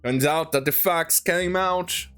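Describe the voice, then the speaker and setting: An online commentator delivering an opinionated, sarcastic rant in a halting, sweary way, sometimes reading aloud. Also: medium pitch, a bit bright, clean tone, faint music behind.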